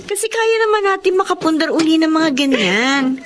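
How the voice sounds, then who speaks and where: A woman's voice: very high-pitched, big pitch swings, normal speed, a little hiss or hum. An actress playing a resolute, caring young working woman, speaking earnestly and reassuringly in radio drama style. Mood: pleading, resolute. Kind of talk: emotional radio drama acting.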